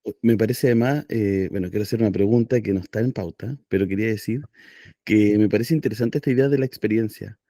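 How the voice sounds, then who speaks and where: A man's voice: on the low side, fast talker, mellow, dry recording, studio quality. A middle-aged man hosting a casual radio interview, hesitant and checking in with "¿cierto?". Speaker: radio host.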